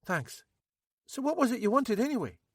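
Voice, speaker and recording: A man voicing a jittery, battered young man who talks fast and rambles anxiously, with a Scottish accent. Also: extremely high pitch, slightly rough, no echo, clean studio sound, pitched above the performer's own voice, heavily acted. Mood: nervous. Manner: excitable, rambling, self-pitying.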